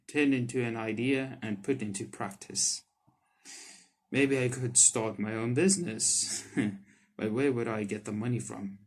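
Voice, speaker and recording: A man's voice: mid-range voice, a little mellow. A man hosting a gaming video, reading visual novel text aloud and commenting on it in informal, slangy language. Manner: informal, slangy, swearing, reacts aloud to what he reads. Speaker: gaming YouTuber, host.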